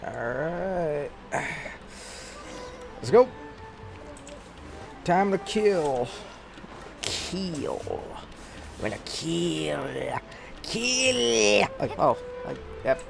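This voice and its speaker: Slow, creaky woman reacting to a game. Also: fairly low pitch, sing-song, over music. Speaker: game streamer. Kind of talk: casual gameplay reactions.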